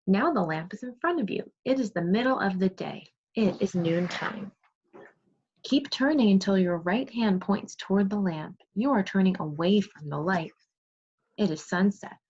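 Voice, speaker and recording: A woman's voice: medium pitch, lively intonation, normal speed, darkish tone, a little hiss or hum. A woman teacher reading aloud and explaining to young children, asking questions and praising pupils as she goes. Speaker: second grade teacher. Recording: dull lo-fi sound.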